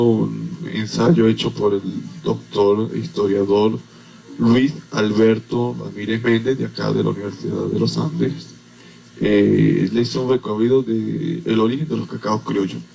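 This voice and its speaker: A man from Venezuela, an agronomist explaining things as a guest in a radio interview, unhurried and full of hesitations. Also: fairly low pitch, vocal fry, a little mellow, low-quality recording.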